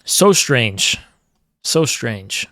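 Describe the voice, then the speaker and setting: A man's voice: medium pitch, sing-song, very slow, sharp tone, no echo. A man live-streaming, chatting casually and teasing his chat while rambling through strong opinions.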